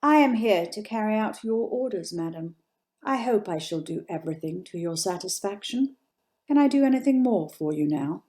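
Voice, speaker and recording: A woman's voice: mid-range voice, lively intonation, moderate pace, mellow, lightly acted. A woman playing a coldly deferential housekeeper of a grand manor, clipped and restrained, in an old-time radio drama. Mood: stiffly obedient.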